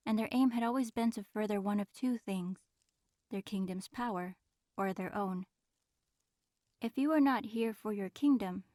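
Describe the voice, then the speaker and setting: An ancient dragoness in human form , voiced by a woman, speaks for an audio roleplay. Her voice sits at a medium female pitch, recorded close and dry. She is regal and aloof, choosing formal, measured words with a guarded reserve. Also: a little mellow, dry recording, lightly acted. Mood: guarded, curious. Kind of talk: audio roleplay, in-character monologue addressed to listener.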